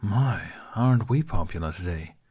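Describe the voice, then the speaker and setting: Man voicing wry older Jedi master, low-voiced. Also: lively intonation, normal speed, mellow, slightly rough, heavily acted. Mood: wry, courteous. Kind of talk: storybook character reading.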